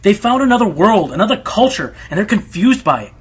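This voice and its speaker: A man's voice: high-pitched, very animated, a little fast, breathy edge, full character voice. Man acting defensive, earnest pony radio host. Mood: outraged, impassioned. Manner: earnest, hesitant, turning indignant. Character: male Pegasus pony radio host, defensive, passionate, self-deprecating. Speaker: radio show host being interviewed. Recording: music behind the voice.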